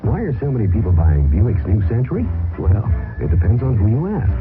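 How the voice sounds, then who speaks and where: A man voices a vintage radio ad with smooth, conversational delivery. His voice sits low with lively movement. Loud music plays under a thin, phone-like sound. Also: brisk. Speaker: commercial announcer. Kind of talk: 1970s radio ad read. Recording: tinny old radio ad with music.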